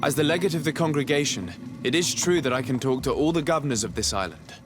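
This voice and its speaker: An actor playing a composed young noble diplomat in a fantasy game, speaking courteously and formally. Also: male voice, a little high, fast, over music, natural voice. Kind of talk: fantasy video game acting.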